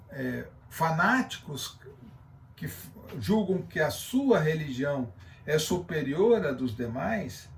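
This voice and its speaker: Halting Brazilian man lecturing, distant from the mic. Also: high-pitched, gravelly, a little hiss or hum. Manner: halting, searching for words. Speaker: teacher giving a class. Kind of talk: explanatory lecture with loose reading from notes. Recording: distant from the microphone.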